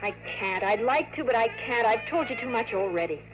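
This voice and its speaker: A woman's voice: fairly high pitch, animated, brisk, over music, lightly acted, rough recording. An actress playing an anxious, kind young woman, hesitant and pleading, in a 1950s radio drama. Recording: old radio recording.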